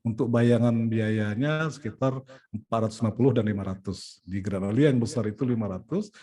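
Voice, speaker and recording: Mellow-voiced Indonesian man organizing an event. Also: lowish voice, fairly quick, husky. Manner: explaining logistics step by step, frequent "nah" and "ya". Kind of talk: planning meeting talk.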